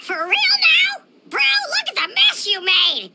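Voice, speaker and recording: A performer voicing a tiny, brash talking dinosaur in a video game, squeaky and slangy, with heavy noise behind. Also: huge pitch swings, a little slow, heavily acted. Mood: brash, indignant. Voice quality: squeaky, screeching. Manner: cheeky, slangy. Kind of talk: game creature voice.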